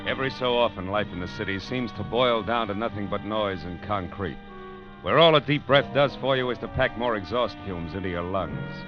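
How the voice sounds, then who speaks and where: A sardonic American private eye played by a man in a mid-century radio crime drama, speaking in a low, dry, hard-boiled voice. He sounds world-weary and quick with a wisecrack. The old recording is dull, with music playing prominently. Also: animated, natural voice. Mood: wry, world-weary. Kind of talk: 1940s-50s American radio detective drama acting and narration.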